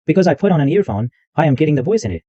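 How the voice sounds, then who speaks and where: A man hosting a screen-recorded software tutorial, narrating every click step by step in loose, repetitive wording. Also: a little high, big pitch swings, very fast, no room sound. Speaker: tutorial host. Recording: dull lo-fi dry mic sound.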